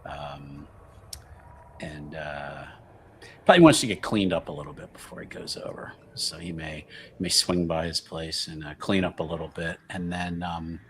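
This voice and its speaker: A man playing a tabletop roleplaying game, talking casually and haltingly, thinking aloud and joking as he improvises. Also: a bass voice, slight background noise.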